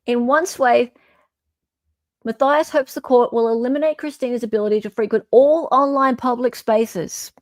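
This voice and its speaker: A woman's voice: fairly high pitch, steady tone, normal speed, dry recording, clean studio sound. An Australian woman hosting an online show, reading a legal filing aloud with emphatic, incredulous off-the-cuff commentary.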